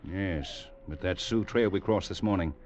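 An actor playing a stern, cautious cavalry captain in a 1950s radio western, clipped, formal and commanding. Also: male voice, deep voice, moderate pace, a little mellow, lightly acted. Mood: probing. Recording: dull, slightly noisy vintage radio recording.

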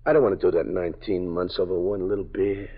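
A man's voice: low-pitched, fairly even intonation, fast talker. An actor playing a nervous male parolee informant who stammers and pleads, in 1950s radio drama style. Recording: dull old radio broadcast.

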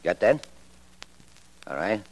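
A man's voice: on the low side, sing-song, slow pace. A man acting a cocky, surly small-time hustler in a radio crime drama, slangy, brusque and mocking. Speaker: actor. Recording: noisy old radio recording.

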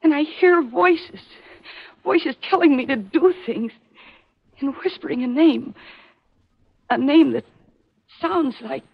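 Troubled dreamy young girl in 40s radio mystery, high voice, halting n pleading, muffled. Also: creaky, full character voice. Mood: dazed, haunted. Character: troubled, dreamy young granddaughter under strange compulsion. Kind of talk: 1940s radio mystery acting. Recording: muffled old 1940s radio recording.

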